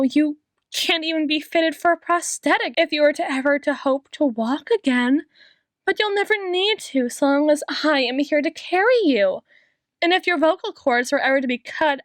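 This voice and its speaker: A woman playing an obsessive, possessive female doctor in an ASMR roleplay, sweetly menacing, with a coaxing, theatrical delivery and lingering pauses. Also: high voice, dry recording, studio quality. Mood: adoring, possessive.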